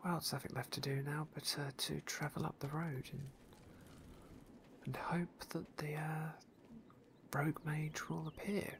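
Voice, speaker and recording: A man whispering ASMR narration of a video game playthrough in a soft, unhurried, genteel way. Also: fairly high pitch, little pitch movement, a little hiss or hum.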